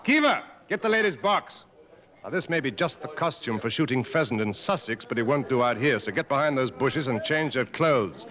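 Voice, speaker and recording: An actor playing a blunt, world-weary, middle-aged safari guide in a 1950s radio drama, speaking frankly and dryly. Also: male voice, mid-range voice, expressive, a bit bright, lightly acted. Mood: brisk, commanding. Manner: frank, measured, dry. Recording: muffled old radio broadcast, long reverb.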